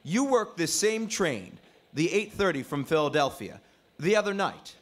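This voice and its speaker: A gentleman amateur sleuth in an old-time radio play. His voice is moderately high, and his manner is composed, courteous and persistent. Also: sing-song, a little slow. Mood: pressing. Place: live stage performance at a convention.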